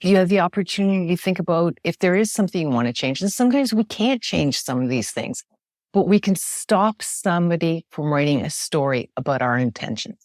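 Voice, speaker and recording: Loud, emphatic woman guest, lowish voice. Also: a little fast, no room sound, clean studio sound. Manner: emphatic, animated, frequent tag question "right?". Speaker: communication specialist guest. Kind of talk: podcast interview, explaining with examples.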